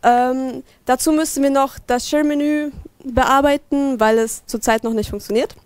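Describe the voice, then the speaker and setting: A woman's voice: sits high, fairly even intonation, normal speed, darkish tone, no room sound. A teenage girl presenting her team's next steps on a handheld mic, with some fillers. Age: teens. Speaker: student presenter. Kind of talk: reading presentation slides.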